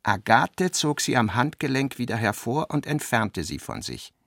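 Guy narrating a literary radio drama, creaky voice, very dry studio sound, measured delivery. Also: mid-range voice, sing-song, fairly bright tone, no room sound. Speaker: narrator, reader of literary text and notes.

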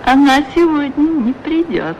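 A talking boot in an old radio play, very high and animated. The delivery is familiar and needling, full of pointed questions, on a slightly noisy vintage recording. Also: female voice, a little slow, full character voice. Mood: matter-of-fact. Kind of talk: 1960s radio play acting.

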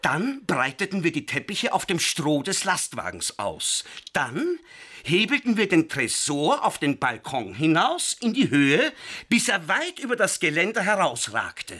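An actor playing a touchy, defensive small-time burglar in a German radio crime drama, speaking emphatically. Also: male voice, a little high, huge pitch swings, measured pace, pushed and bright, full character voice. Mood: animated recounting.

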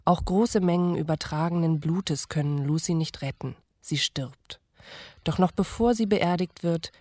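A female narrator with a very low voice, recorded dry and close in a studio. She tells the story so far in a measured, formal way. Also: darkish tone, no room sound. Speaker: narrator and announcer. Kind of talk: scripted radio drama recap narration.

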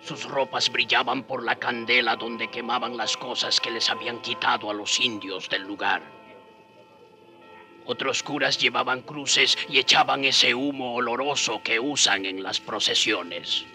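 A skeptical native man, bright voice. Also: medium pitch, a little slow, faint music behind. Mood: narrating. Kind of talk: radio drama acting and storytelling.

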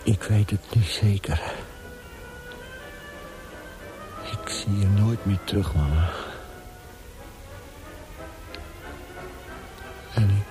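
An actor in a radio drama playing an anguished young prisoner thinking aloud in an intense, introspective monologue. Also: male voice, lowish voice, moderate pace, lightly acted, low-quality recording. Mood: despairing. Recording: loud music behind the voice.

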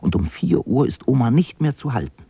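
A man narrating a radio drama as a storyteller, with a gravelly, husky voice. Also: mid-range voice, very animated, brisk, warm tone, no room sound.

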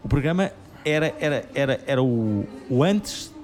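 A man's voice: mid-range voice, expressive, moderate pace, a little mellow. A man with a European Portuguese accent hosting a podcast, rambling and stammering in a joking way, sharing one microphone. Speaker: podcast host. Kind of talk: casual chat.

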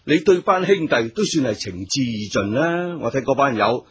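A gang boss's Cantonese right-hand man in a radio drama. The voice is heavily husky and sits at an average male pitch. He is obsequious and eager, always agreeing and flattering. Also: moderate pace. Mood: eager deference.

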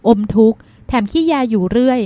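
A woman's voice: a little high, animated, brisk, warm tone, dry recording, lightly acted. A woman reading the part of a stubborn, teasing young woman, a petulant younger sister, in an audiobook.

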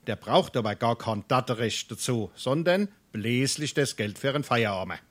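A man's voice: mid-range voice, lively intonation, normal speed, close to an everyday voice. A man playing a canny small-town burgher in a Darmstadt dialect comedy, dry and needling. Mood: reassuring. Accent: Darmstadt Hessian. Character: canny, blunt male townsman, a family friend. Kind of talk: dialect stage comedy acting.

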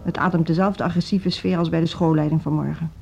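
An actress playing a firm, defensive music-school leader in a radio drama, speaking formally and articulately. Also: female voice, sits low, fairly even intonation, fast, gravelly, darkish tone. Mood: defensive, reproachful. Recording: dull, noisy old radio recording.

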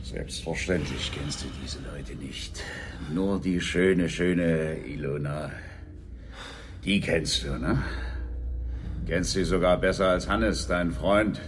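A man's voice: low voice, slow, background noise. A man acting in a radio drama as a menacing, contemptuous socialist state security interrogator who sneers and commands. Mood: cold, sneering.

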